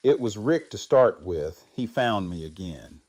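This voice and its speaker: A man playing a grieving, reflective widowed survivor in an audio drama, speaking in a halting, subdued manner. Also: lowish voice, animated, a little mellow, no room sound. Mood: reflective storytelling. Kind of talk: fan audio drama acting.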